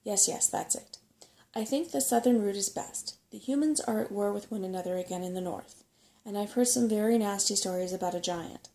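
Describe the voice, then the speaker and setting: A woman's voice: medium pitch, a little fast, mellow, natural voice. A woman voicing a fussy, chatty, know-it-all old male rat in a storybook reading, with emphatic delivery. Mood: brisk, knowing.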